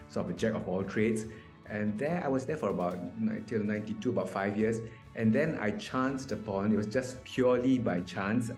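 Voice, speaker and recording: Guy in his 50s explaining stuff in an interview, lowish voice, roomy video call sound. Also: darkish tone. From Malaysia. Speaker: interview guest, mediator. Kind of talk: conversational interview answers. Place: small indoor room. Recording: echoey room on video call.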